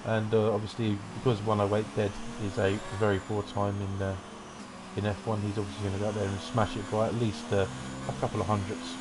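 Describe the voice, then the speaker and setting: A man giving relaxed, rambling commentary on an online racing qualifying session. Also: fairly low pitch, a little fast, noisy background. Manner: relaxed, rambling, self-deprecating asides. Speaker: race commentator.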